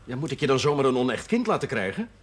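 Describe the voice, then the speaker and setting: An actor playing a fatherly, wry village parish priest in a television period drama, gently admonishing. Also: medium pitch, big pitch swings, fast. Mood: paternal, concerned. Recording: noisy old television drama soundtrack.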